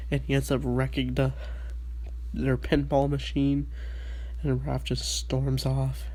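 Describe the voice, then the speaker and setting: A man's voice: medium pitch, completely flat delivery, takes it slowly, warm tone. A man with a stuffy, under-the-weather voice, eagerly recapping a cartoon episode in a casual solo review.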